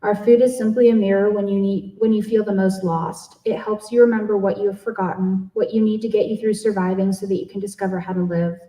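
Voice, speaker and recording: A woman's voice: medium pitch, steady tone, fairly quick, few pauses, warm tone, close to an everyday voice. A woman voicing a serene, earnest keeper of a magical eatery in a story reading. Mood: earnest, comforting. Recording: echoey room, distant microphone.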